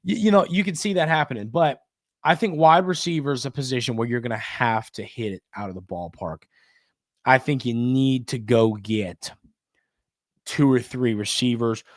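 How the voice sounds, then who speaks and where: A man's voice: mid-range voice, dry recording, studio quality. A man hosting a sports podcast, giving conversational commentary in an emphatic way and repeating phrases for stress.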